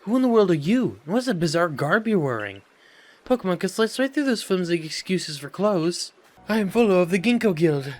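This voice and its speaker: A man voicing a friendly, curious young travelling merchant with a courteous, theatrical manner. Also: high-pitched.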